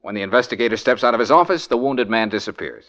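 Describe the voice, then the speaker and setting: An actor playing a cool-headed, wisecracking American private detective in an old radio drama, brisk, wry and slangy. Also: male voice, on the low side, expressive, a bit bright. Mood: wry storytelling. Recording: muffled vintage radio recording.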